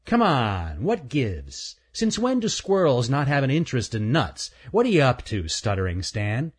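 A man's voice: medium pitch, huge pitch swings, moderate pace, slightly rough, pitched above the performer's own voice. A man voicing a cocky male chipmunk who teases and wheedles in a children's story. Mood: wheedling. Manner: teasing, pushy.

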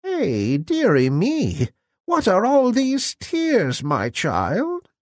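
Man putting on a croaky old fairy godmother, high-pitched. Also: huge pitch swings, extremely slow, dry recording, studio quality, exaggerated voice. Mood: feeble, concerned. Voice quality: feeble, croaking. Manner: doting, gently commanding. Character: feeble old beggar woman, secretly kindly fairy godmother.

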